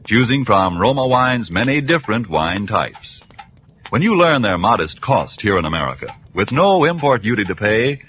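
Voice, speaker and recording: A man announcing on a vintage radio broadcast in smooth, polished tones. His delivery is lively and rises and falls a lot, with faint hiss underneath. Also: medium pitch. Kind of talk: scripted commercial and program announcement.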